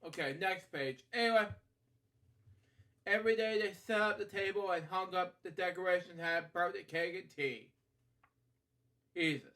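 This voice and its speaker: A man hosting a bedtime story read-aloud, halting and rambling as he drifts into casual asides. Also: high voice, pushed and bright. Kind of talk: picture-book read-aloud with casual off-the-cuff commentary.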